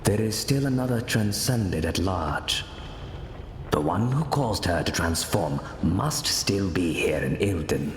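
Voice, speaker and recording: An actor playing a grave former monk turned spy, speaking in a formal, deliberate, solemn way in a video game cutscene. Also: male voice, deep voice, animated, echoey room, in a large hall, background noise, exaggerated voice.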